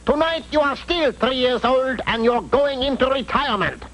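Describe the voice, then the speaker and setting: Super high voiced cartoon dutch dad, totally unbothered, old tv audio. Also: male voice, lively intonation, moderate pace, a bit bright, full character voice, poor audio. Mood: bossy. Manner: comic broken grammar, unbothered. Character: Papa Klinker, nonchalant Dutch father in a fairy tale. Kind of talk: cartoon character voice.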